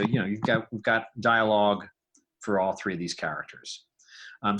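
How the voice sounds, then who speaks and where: A man giving an informal tutorial, talking haltingly and thinking aloud as he demonstrates his work. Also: fairly low pitch, sharp tone. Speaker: podcast creator demonstrating sound design.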